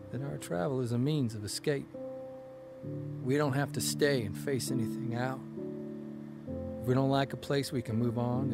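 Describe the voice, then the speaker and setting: A man's voice: mid-range voice, natural voice. A man playing a wry, wistful American traveling newspaper columnist, telling his stories in a folksy, reflective radio drama style. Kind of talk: first-person period radio drama monologue.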